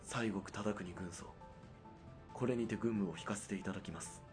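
A man's voice: on the low side, moderate pace, music in the background, natural voice. A man playing a principled, dutiful military police sergeant in a period radio drama, speaking formally, with earnest restraint. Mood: righteous indignation.

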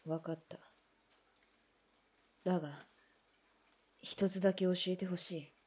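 A woman's voice: level tone, unhurried, husky. A woman playing a defiant Russian ex-officer in a radio drama, blunt and challenging. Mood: subdued. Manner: blunt, challenging, low and clipped. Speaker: former Russian imperial soldier. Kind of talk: hobby radio drama acting.

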